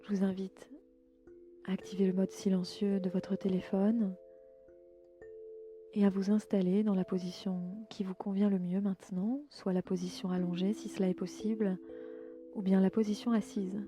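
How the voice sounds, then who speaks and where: A woman gently guides a relaxation session in a soothing, inviting way, letting her phrases end softly. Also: mid-range voice, level tone, normal speed. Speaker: host and yoga nidra guide. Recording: music bed behind the voice. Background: relaxation music.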